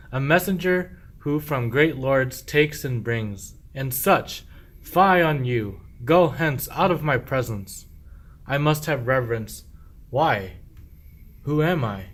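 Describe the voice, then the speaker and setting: A man's voice: mid-range voice, fairly bright tone. A man playing a sly, boastful, self-pitying sheep thief in a medieval verse radio play, with declamatory rhyming speech. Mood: pompous indignation.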